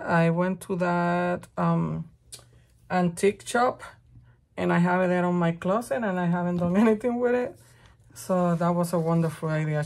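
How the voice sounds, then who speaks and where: Woman, middle-aged, raspy from a cold, gravelly low voice, slow chatty talk. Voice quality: rough, raspy voice. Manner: slow, chatty, thinking aloud while working. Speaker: crafting channel host. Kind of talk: casual craft tutorial talk.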